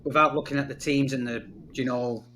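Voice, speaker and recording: A man's voice: mid-range voice, brisk, a little hiss or hum. Guy co-hosting a fantasy football pod, chatty and laid back. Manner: chatty, relaxed storyteller. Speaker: fantasy football podcast co-host. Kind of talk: casual chat.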